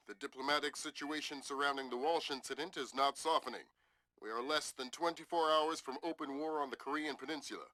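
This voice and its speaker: An actor playing a serious intelligence chief briefing his agent with measured authority and urgency in a video game. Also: on the high side, sharp tone, no room sound.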